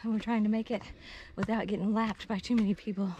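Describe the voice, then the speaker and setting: A woman's voice: mid-range voice, fairly even intonation, a little fast, mellow. A middle-aged woman chatting casually to camera, somewhat winded as she hikes. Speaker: hiker filming a travel vlog. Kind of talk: casual chat to camera while hiking. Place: outdoors on a forest and ridge trail. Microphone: handheld camera at arm's length. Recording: noisy handheld outdoor camera audio.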